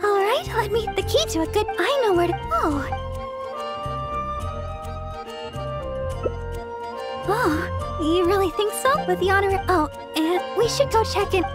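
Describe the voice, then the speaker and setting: A sweet, high-pitched young deaconess. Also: female voice, animated, normal speed. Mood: cheerful, polite. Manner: gentle, polite, earnest. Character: sweet, earnest, selfless young church deaconess. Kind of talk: anime-style video game voice acting. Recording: music behind the voice.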